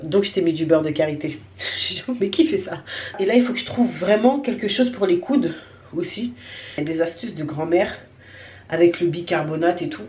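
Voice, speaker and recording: A woman's voice: fairly low pitch, a little fast, a little mellow, a little hiss or hum. A young woman with a cold chatting casually to her vlog audience, candid and slangy, grumbling and poking fun at herself. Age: young adult. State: has a cold. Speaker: vlogger.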